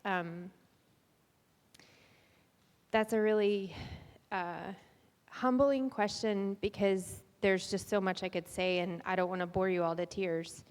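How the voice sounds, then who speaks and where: A woman's voice: medium pitch, fairly even intonation, slow, long pauses, clear, resonant tone. A woman speaking unscripted to an audience, hesitant, humble and thoughtful. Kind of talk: unscripted public testimonial. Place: large echoey room at a graduation event. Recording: echoey hall, long reverb.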